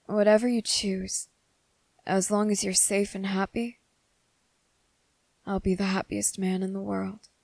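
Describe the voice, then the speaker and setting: A soft-spoken, possessive vampire lover, acted by a woman speaks slowly and intimately in an ASMR roleplay. His delivery stays flat and even, with barely any rise or fall. The recording is close and very dry, with no room sound. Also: mid-range voice, creaky, no echo. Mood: tender, grave. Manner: slow, soft-spoken and intimate, slipping into whispers. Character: young male vampire, devoted and possessive lover.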